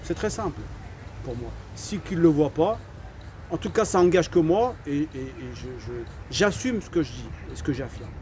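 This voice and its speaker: A French man of Algerian heritage talks through his views with a fairly high voice, stopping and restarting his phrases. Clear street noise hums around him outdoors. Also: very animated, moderate pace, a little mellow. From Algerian heritage, raised in France. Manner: hesitant, repeats words, says "voilà" often. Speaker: channel host giving opinions. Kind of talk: casual opinion talk, outdoors.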